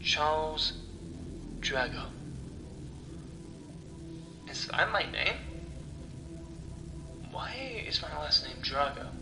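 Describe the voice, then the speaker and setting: A man playing a confused, frightened amnesiac in a horror story, talking to himself in halting questions. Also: on the high side, big pitch swings, exaggerated voice. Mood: confused, groggy. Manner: halting, questioning, sighing self-talk. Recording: echoey sound with music underneath.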